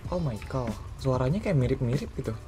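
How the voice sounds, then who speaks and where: A man's voice: mid-range voice, expressive, a little fast. A man chatting casually while roleplaying in a video game. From Indonesia. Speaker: gaming streamer, roleplay player. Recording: loud music and car sounds behind. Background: music, game vehicle sounds.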